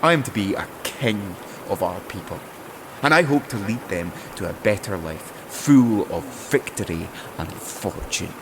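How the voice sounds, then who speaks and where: A man's voice: fairly low pitch, animated, measured pace, background noise. A man playing a bold, courtly would-be king in a fantasy audio drama, with gallant, flowery, formal speech. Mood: proud.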